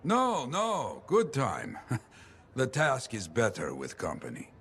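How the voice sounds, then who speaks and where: An actor playing a wistful, courteous male storyteller in a video game, reflective and gently philosophical. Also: medium pitch, full character voice. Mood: genial, wry. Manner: expressive, reflective, measured. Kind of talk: video game dialogue acting. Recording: clear ambient noise behind the voice.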